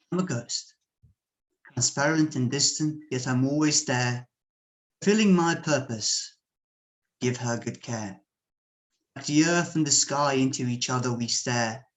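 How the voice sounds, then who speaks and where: A man from Bosnia whose first language is Serbian, reading his poetry slowly and deliberately at an online open mic over a home computer microphone. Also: medium pitch. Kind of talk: slow measured poetry reading.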